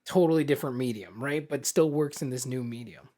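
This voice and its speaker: A man's voice: mid-range voice, fairly quick, mellow. A man co-hosting a podcast in casual conversation, agreeing and explaining his points. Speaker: podcast co-host.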